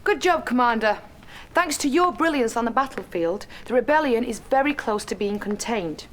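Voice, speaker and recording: An actress playing a composed, courteous Allied briefing officer in a video game, businesslike and deferential. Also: female voice, on the high side, animated, moderate pace, fairly bright tone, a little hiss or hum. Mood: approving, businesslike. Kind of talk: game mission briefing acting.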